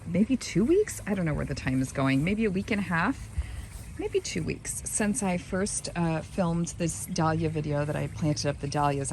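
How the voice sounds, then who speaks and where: A hoarse woman who has partly lost her voice, chatting loosely in a garden vlog with lots of fillers. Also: deep voice, darkish tone, a little hiss or hum, poor audio. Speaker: gardening vlogger. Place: backyard garden, outdoors.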